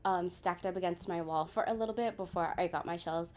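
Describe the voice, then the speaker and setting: Young woman, vlogger, casual and chatty, talks straight to viewers, slightly low pitch. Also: brisk, darkish tone. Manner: chatty, addresses viewers as you guys. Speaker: lifestyle vlogger. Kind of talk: casual room tour narration.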